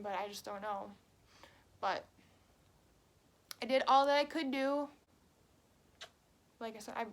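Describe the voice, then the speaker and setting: Chatty young woman, bright high voice. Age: 20s. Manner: chatty, quick, many fillers. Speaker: vlogger. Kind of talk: casual storytime vlog. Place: at home.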